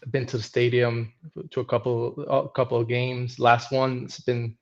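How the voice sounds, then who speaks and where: A man's voice: medium pitch, little pitch movement, normal speed, highs cut off. A man in his thirties from Venezuela, a podcast guest chatting casually and hesitantly, with many restarts. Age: 30s.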